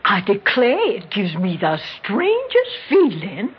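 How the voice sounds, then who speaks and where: Slow, prim old Southern mother. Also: medium pitch, huge pitch swings. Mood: musing. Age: 80s. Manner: folksy, genteel, deliberate. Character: elderly Southern mother, prim, sly, sharp-tongued. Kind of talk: 1960s radio drama acting. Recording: dull, slightly noisy 1960s radio recording.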